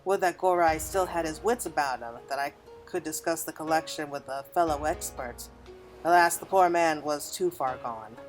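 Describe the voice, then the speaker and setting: A woman voicing a self-satisfied gentleman merchant and collector from a fantasy game, with florid, archaic diction. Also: low-pitched, moderate pace, lightly acted. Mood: delighted, grandiose. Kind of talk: reading game cutscene dialogue aloud. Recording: game music behind the voice.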